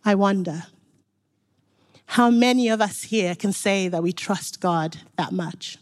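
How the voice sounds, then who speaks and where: A woman's voice: mid-range voice, slow pace. A young woman from Zimbabwe giving an earnest, encouraging sermon, speaking directly to the congregation. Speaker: preacher.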